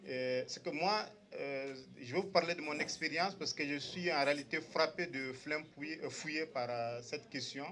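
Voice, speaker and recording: Halting man from Mali. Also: on the high side, fairly bright tone. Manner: hesitant, halting with fillers. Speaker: audience member speaking up. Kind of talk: spontaneous debate remark.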